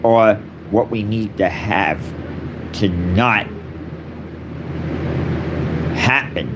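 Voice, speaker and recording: A man delivering a solemn, improvised personal address in short, repetitive phrases with long pauses between them. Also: on the low side, highs cut off, very noisy. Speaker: speaker giving a personal address.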